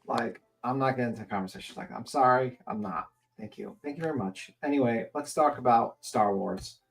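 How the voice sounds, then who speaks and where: A man's voice: medium pitch, a little fast, creaky, soft background music. A man in casual panel chat who jokes, dodges questions and rambles. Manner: joking, evasive, rambling. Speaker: panel guest.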